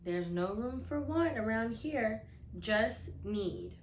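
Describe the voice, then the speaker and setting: A kindly, practical storybook grandmother, voiced by a woman reading aloud, speaks plainly and with gentle encouragement. The voice is fairly high, in an echoey room. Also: lively intonation, takes it slowly. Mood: firm. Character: practical, kindly grandmother in a children's picture book.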